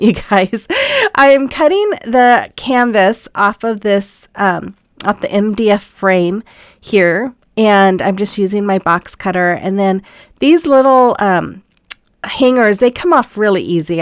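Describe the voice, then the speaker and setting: A woman's voice: mid-range voice, clean tone, no room sound, studio quality. A middle-aged woman with a stuffy cold, chatting through a DIY project step by step with frequent ums. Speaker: craft channel host. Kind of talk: narrated DIY voiceover.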